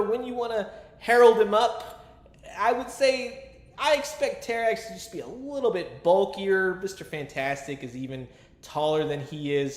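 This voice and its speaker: A man's voice: a little high, roomy sound. A man giving a casual, chatty toy review with a fan's enthusiasm and colloquial asides. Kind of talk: casual action figure review commentary.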